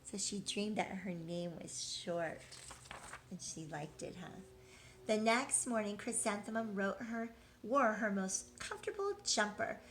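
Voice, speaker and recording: A woman's voice: medium pitch, expressive, unhurried, fairly bright tone, a little hiss or hum. A woman reading a picture book aloud to young children like a teacher, expressively, drawing out key words and checking in with her listeners.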